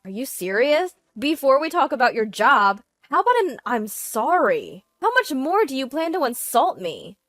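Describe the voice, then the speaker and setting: A woman's voice: mid-range voice, lively intonation, unhurried, no echo, clean studio sound, close to an everyday voice. A woman voicing a scornful betrayed wife with a cutting, unyielding manner in a dramatized story. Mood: furious.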